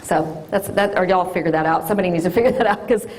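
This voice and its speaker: A woman, an orthodontist giving a loud, lively classroom talk to children. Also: lowish voice, fast talker. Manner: loud and lively, sing-song call-and-response.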